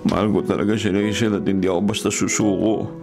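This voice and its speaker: A man's voice: lowish voice, level tone, quick pace, vocal fry, music in the background. A man playing a poor, heartbroken young gardener in a Filipino radio drama, humble, earnest and pleading. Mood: pleading, determined. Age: young adult.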